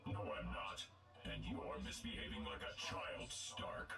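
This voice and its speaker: A genocidal machine tyrant from a video game. His voice is abyssally deep and gravelly, run through a robotic filter, with cold, arrogant delivery. Loud music plays behind it. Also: expressive, full character voice. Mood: scornful. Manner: disdainful, formal, deliberate.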